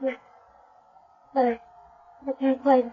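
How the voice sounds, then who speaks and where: A young American woman unsure of her memory in a radio drama. Her voice is fairly high and her delivery guarded and halting. The sound is dull, like an old broadcast. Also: level tone, slight background noise. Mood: guarded, uncertain.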